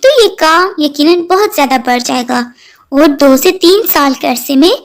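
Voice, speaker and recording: A woman's voice: high-pitched, animated, unhurried. A woman voicing a kindly, hardworking big brother bird in a children's moral cartoon, earnest and reassuring. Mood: pleased, hopeful.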